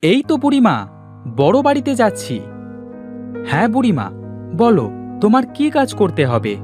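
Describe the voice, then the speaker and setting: Cartoon village youth, male performer: polite, eager to help, very lively pitch, music behind. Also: a little slow, exaggerated voice. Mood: obliging. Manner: obliging, expressive. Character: polite, helpful, hardworking village young man.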